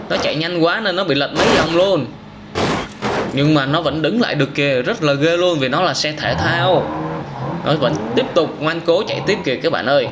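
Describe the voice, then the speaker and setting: A man in his thirties giving exclamatory, simple storytelling commentary on game footage, as if for children. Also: on the high side. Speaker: host of gaming reaction videos for children. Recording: noisy, car engine sounds behind.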